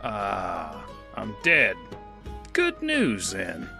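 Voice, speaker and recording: A man's voice: on the low side, huge pitch swings, very slow, creaky, sharp tone, soft background music, full character voice. A man playing a weary, laconic gunslinger. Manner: dry, laconic drawl. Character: weary male gunslinger, laconic and world-worn. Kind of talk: first-person narration.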